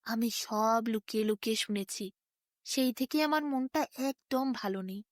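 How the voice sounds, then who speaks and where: A woman's voice: fairly high pitch, a little slow, no echo, clean studio sound, lightly acted. A woman voicing a sly donkey in a bedtime story, confiding in a plaintive way with feigned sorrow.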